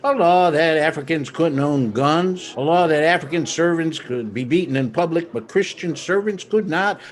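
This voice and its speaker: A high-voiced, pompous colonial lawmaker of the 1600s, acted by a man. He explains things with theatrical self-satisfaction, scheming and proud of his power, as in an audio history play. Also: moderate pace, close to an everyday voice. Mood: smug, explaining. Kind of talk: audio drama acting, explanatory dialogue.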